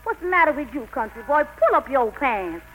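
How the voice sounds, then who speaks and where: A woman's voice: high voice, big pitch swings, fairly quick, a bit bright, faint music behind, rough recording. A woman playing a sassy, brazen young gal with a Southern US accent and a mocking manner, in 1940s radio drama style. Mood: sassy, defiant. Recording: vintage 1940s radio broadcast.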